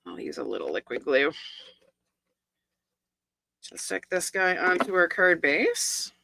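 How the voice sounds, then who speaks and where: A middle-aged woman with a croaky, hoarse voice, chatting casually as she demonstrates a stamping and card-making project step by step. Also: on the low side, big pitch swings, slow, pushed and bright, a little hiss or hum. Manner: chatty, explains each step with asides and small laughs. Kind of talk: casual live craft tutorial.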